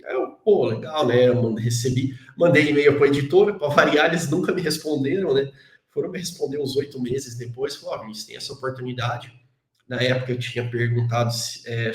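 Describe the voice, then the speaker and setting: A man's voice: mid-range voice, a little mellow. A Brazilian man, a guest on an interview show, talking casually with a Brazilian Portuguese accent, tagging his sentences with constant little questions and poking fun at himself. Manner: chatty, constant "né" tag questions, self-deprecating. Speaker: guest, database engineer. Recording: roomy echo.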